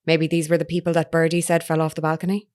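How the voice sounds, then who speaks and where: Woman playing low-voiced, persistent female reporter. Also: level tone, quick pace, a little mellow, lightly acted. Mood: matter-of-fact. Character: persistent, sharp-witted female investigative reporter. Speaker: voice actor in audio drama. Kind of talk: naturalistic audio drama acting.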